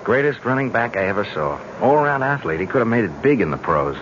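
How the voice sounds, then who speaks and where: A man's voice: on the low side, big pitch swings, quick pace. A man playing a breezy young newlywed and loyal buddy, talking colloquially and eagerly in a 1970s radio drama. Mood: hearty. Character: hearty young American newlywed, loyal old football buddy. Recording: muffled, very noisy old radio recording.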